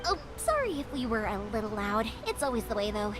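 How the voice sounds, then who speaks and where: An actress playing a lively young woman fireworks maker who talks patiently with her deaf father, in a video game. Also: fairly high pitch, a little fast, noisy background. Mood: cheerful. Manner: bright, chatty, patient. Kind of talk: game voice acting.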